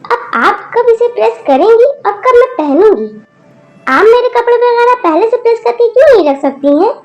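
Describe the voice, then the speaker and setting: A woman's voice: very high-pitched, expressive, moderate pace, muffled recording, soft background music, pitched above the performer's own voice. A woman voicing a spoiled college-age daughter who snaps and whines rudely at her mother. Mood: whiny. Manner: whiny, snapping, rude.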